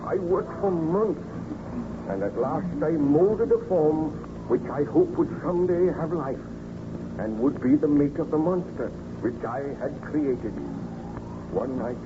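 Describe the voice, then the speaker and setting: An actor playing a tormented, guilt-ridden scientist in an old-time radio drama, melodramatic and formal. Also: male voice, on the high side, lively intonation, measured pace. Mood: grave, confiding. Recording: muffled, noisy old radio recording.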